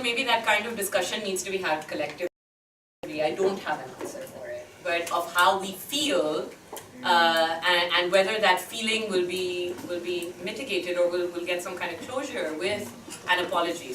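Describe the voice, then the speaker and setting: A woman's voice: on the low side, normal speed. A woman speaking as a panelist in an academic discussion, thoughtful and questioning. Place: university seminar room. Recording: echoey room, distant mic, background hiss.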